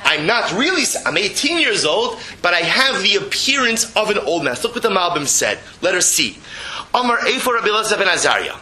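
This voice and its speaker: High, bright-voiced man teaching religious text. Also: big pitch swings, a little fast. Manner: emphatic, repeats phrases, rhetorical questions. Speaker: rabbi giving a Torah class. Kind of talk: religious text lecture, reading and translating.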